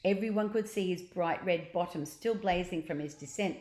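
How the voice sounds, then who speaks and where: An older woman reading a children's storybook aloud as a grandmother would, with expressive, drawn-out emphasis, in a roomy recording. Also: lowish voice. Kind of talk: storybook narration read aloud.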